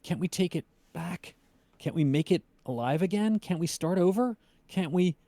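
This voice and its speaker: A man's voice: sits high, lively intonation, moderate pace, warm tone, dry recording, voice pushed up. A man voicing a horrified, guilt-stricken man who cries and pleads in a story reading. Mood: desperate pleading. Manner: pleading, moaning, repetitive cries.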